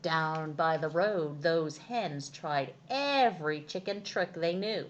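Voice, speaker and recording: Woman, storytime reader, expressive children's narration, unhurried, lively intonation. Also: low-pitched, dull audio.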